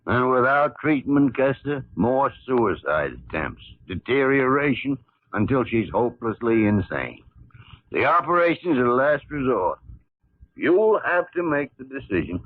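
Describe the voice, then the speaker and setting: Husky, animated senior doctor. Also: male voice, medium pitch, measured pace, dry recording. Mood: grave, urgent. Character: gruff senior male doctor, fatherly mentor. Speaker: actor in a medical radio drama. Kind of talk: old-time radio drama acting. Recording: tinny old radio recording.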